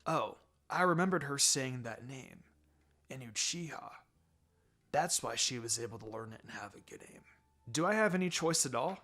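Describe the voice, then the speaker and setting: A man reading a story aloud in an expressive, dramatic way as its narrator. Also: mid-range voice, moderate pace. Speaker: fan fiction reader and narrator.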